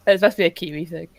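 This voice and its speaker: A woman's voice: fairly low pitch, no echo. A woman playing in a live tabletop game, bubbly and giggly, with big swooping exclamations. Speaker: player. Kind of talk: casual tabletop game chat.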